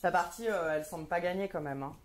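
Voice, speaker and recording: A woman's voice: fairly low pitch, fast talker, a little hiss or hum. A woman in her thirties performing a stand-up comedy monologue, wry and sardonic, with frequent hesitant fillers. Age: 30s.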